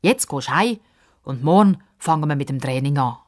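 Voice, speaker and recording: A woman's voice: sits low, lively intonation, normal speed, faint music behind. A woman voicing a kindly, cheeky old man in a children's story, reassuring and folksy in manner. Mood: comforting.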